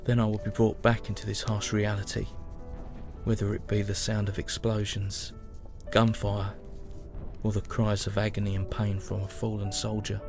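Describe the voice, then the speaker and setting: Over clear music, a low-voiced young British soldier played by a man narrates his war journal in an audio drama. He is weary and grieving, solemn and measured, and his pitch barely moves. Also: completely flat delivery, lightly acted. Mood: bleak, weary. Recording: music behind the voice.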